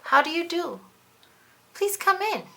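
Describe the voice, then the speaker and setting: Woman voicing a loud, high, falsely cheery monkey. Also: very animated, unhurried, vocal fry, full character voice. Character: scheming male monkey astronaut feigning friendliness.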